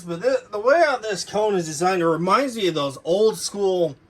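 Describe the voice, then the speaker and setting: A man's voice: a little high, fairly bright tone. A man giving a casual, unscripted product overview as a hobbyist, rambling informally and correcting himself as he goes. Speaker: hobbyist reviewer showing audio gear.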